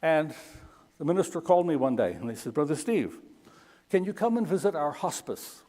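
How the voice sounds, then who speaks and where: A middle-aged man speaks in a fairly high voice, telling mission stories in a folksy way and checking in with "okay?" again and again. His voice carries the long reverb of a big church hall. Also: big pitch swings, moderate pace, mellow. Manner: folksy, keeps asking "okay?". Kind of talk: Sunday school talk.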